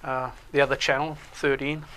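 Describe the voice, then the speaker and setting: A Welsh man chatting casually in a local TV interview, talking about himself with lots of pauses and fillers. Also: mid-range voice. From Wales. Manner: relaxed, hesitant with many uh fillers. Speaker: show co-host and security instructor.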